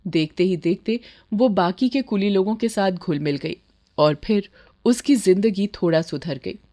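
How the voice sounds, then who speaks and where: A woman's voice: mid-range voice, unhurried, warm tone. A woman narrating a scripted moral bedtime story as a storyteller.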